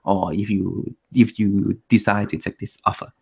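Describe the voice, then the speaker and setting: Gravelly low-voiced man hosting a meetup. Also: little pitch movement, a little slow, a little mellow. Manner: loose, self-correcting, many restarts. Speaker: meetup host. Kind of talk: casual chat.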